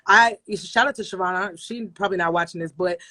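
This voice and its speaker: A young adult woman, a radio personality, talking in a relaxed interview and telling her story with emphasis, often repeating phrases for effect. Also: lowish voice, a little fast. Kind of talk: casual interview conversation.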